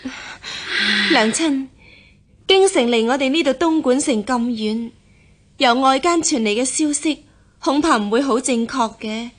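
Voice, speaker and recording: A woman acting a devoted, gentle young unmarried daughter in a Cantonese radio drama, with a coaxing manner. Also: high-pitched, a little slow, long pauses. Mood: consoling.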